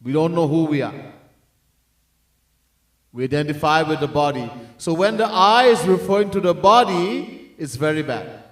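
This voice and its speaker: A man's voice: a little high, moderate pace, long pauses. A man teaching as a swami in a devotional discourse, earnestly repeating his key points and at times chanting verses in song. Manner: breaks into sung chanting of verses. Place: temple hall. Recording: echoey room, mic set far off.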